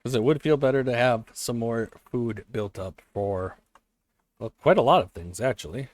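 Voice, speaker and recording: A man thinking aloud while he plays a strategy game, his voice a little hoarse, often correcting himself as he goes. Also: mid-range voice, normal speed, a little mellow, dry recording. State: voice slightly hoarse. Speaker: let's play host. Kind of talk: casual gameplay commentary.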